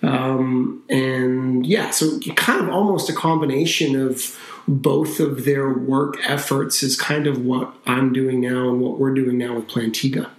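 A man's voice: medium pitch, unhurried. Talkative man in a roomy echo. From Canada. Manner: relaxed, talkative, with frequent fillers and restarts. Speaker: company founder and CEO. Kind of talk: casual podcast interview.

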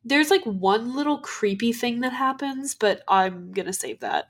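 A woman's voice: fairly high pitch, animated, measured pace. A young woman, nasal from a cold, co-hosting a podcast and telling ghost stories in a chatty way full of "like" fillers. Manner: chatty, many "like" fillers and restarts. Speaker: podcast co-host. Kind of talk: casual chat about ghost stories.

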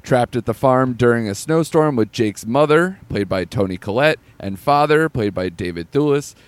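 A man's voice: mid-range voice, lively intonation, moderate pace, no room sound. A man hosting a casual podcast about a new film, laughing often as he reads out the plot. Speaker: podcast host. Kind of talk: casual film review chat.

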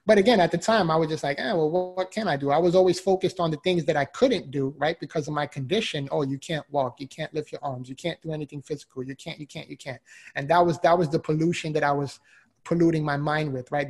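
A man's voice: on the high side, quick pace. A man, a motivational speaker telling his own story in an interview, talking with energy and emphatic repetition. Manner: energetic, emphatic, repeats words for drive. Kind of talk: interview answer, personal story.

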